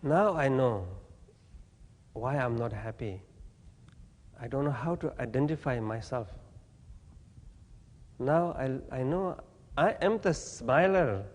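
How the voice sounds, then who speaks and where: Slow-speaking man in a hall. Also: mid-range voice, animated. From Tibet. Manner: halting, plain non-native English with gentle humor. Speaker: Buddhist teacher. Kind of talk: dharma talk to an audience. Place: talk venue with audience.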